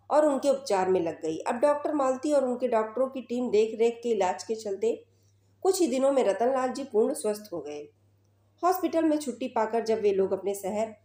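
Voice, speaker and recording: Female storyteller for scripted narration, soft dark tone, slightly high pitch, roomy reverberant sound. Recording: roomy, echoing voice recording.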